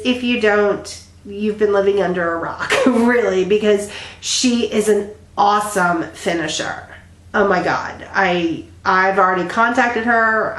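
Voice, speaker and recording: A woman's voice: mid-range voice, a bit bright. A woman in her thirties, stuffy and congested, chatting casually to her viewers with lots of ums and restarts. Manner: rambling, many ums and restarts. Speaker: flosstube vlogger. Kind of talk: casual chat to camera. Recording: echoey room.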